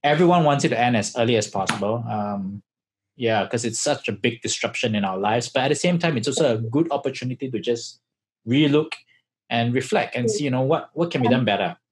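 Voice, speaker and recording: A man's voice: mid-range voice, fairly quick, slight background noise. A man hosting a casual interview over a video call, encouraging and filling with frequent backchannels. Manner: encouraging, many backchannels and fillers. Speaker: host.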